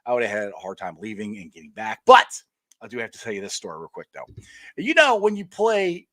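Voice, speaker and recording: A middle-aged man hosting a casual sports chat, telling stories in a rambling, animated way with many restarts and laughs. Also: on the low side, brisk, no echo. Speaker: podcast host.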